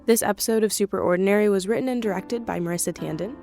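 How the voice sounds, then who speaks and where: A woman's voice: medium pitch, racing, vocal fry, dark tone. Woman reading credits over music. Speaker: podcast announcer. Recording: music playing behind.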